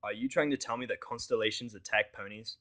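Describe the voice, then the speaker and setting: A man's voice: lowish voice, steady tone, fast talker, fairly bright tone, close to an everyday voice. A man voicing a moody, stubborn, sarcastic human man in a fan fiction audio story, with curt delivery. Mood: sarcastic, incredulous. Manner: curt, sardonic, swearing.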